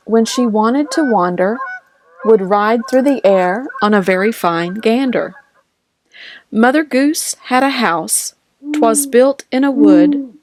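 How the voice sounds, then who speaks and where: A woman reading nursery rhymes aloud from a picture book in a measured, sing-song manner. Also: fairly high pitch, a little mellow, a little hiss or hum. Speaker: storybook reader.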